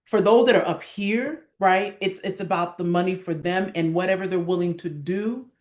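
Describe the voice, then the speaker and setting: A woman's voice: on the low side, moderate pace, reverberant. A woman being interviewed, speaking conversationally but with conviction, piling up examples and checking her points with rhetorical tags. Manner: emphatic, builds lists, tags points with "right?". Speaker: stage director and actress being interviewed. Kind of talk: impassioned conversational interview talk.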